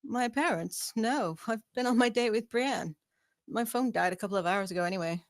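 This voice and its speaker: A woman's voice: on the high side, normal speed, no room sound, clean studio sound, lightly acted. A woman playing a cheeky, giddy young woman in love at a screenplay table read. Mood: puzzled.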